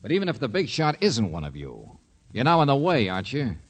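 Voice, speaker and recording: An actor playing a brash, wisecracking private investigator in a 1950s radio drama, with clipped, slangy, insistent delivery. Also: male voice, medium pitch, wildly swooping pitch, fairly quick, breathy edge, long reverb tail.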